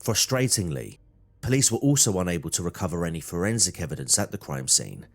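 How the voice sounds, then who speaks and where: Man, very low voice, true crime narrator, scripted documentary delivery. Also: big pitch swings, fast talker, gravelly.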